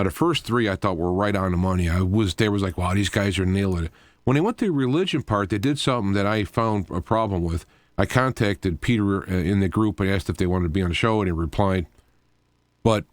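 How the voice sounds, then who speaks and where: A man's voice: on the low side, no room sound. A man hosting a live radio show in an informal, rambling monologue, explaining things as he goes with frequent asides.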